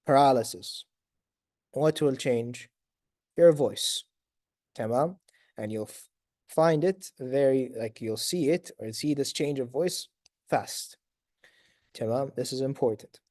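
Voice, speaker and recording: A man lecturing medical students in a raspy voice, quizzing them and praising answers, with Arabic fillers between points. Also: medium pitch, warm tone, dry recording, studio quality. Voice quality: raspy from long talking. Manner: sprinkles Arabic fillers like tamam, inshallah.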